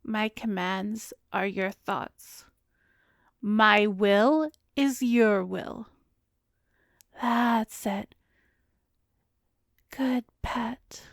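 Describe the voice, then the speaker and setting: A woman playing an arrogant, cruel, seductive vampiress hypnotist in an ASMR roleplay, savoring and commanding, with breathy speech that often drops to a whisper. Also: mid-range voice, no room sound, studio quality. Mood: gloating, elated. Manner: slow, savoring, commanding, teasing. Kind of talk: ASMR roleplay audio drama.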